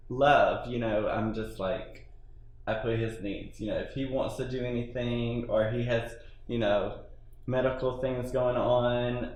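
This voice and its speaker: A man in his thirties talks in a chatty, rambling way, full of "you know"s. His voice is mid-pitched, and the room around him echoes a little, with faint background noise. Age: 30s. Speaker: interviewee. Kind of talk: casual interview answers.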